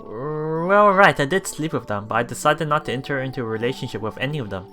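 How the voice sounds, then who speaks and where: A woman casually reading and reacting to a visual novel as a let's play streamer, giggly, excitable and expressive. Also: extremely low pitch, fast talker, soft background music.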